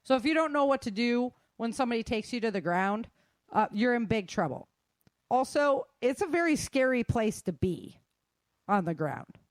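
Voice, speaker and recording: A woman's voice: mid-range voice, no echo. A middle-aged woman giving an informal talk in a candid, colloquial way with joking asides.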